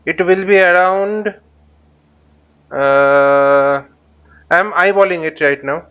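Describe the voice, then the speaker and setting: Man, higher-pitched voice, halting tutorial commentary, muttering to himself, slightly muffled lo-fi recording. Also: clean tone. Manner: halting, thinking aloud, often muttering to himself. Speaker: flight simulator instructor. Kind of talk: unscripted tutorial commentary while flying a simulator.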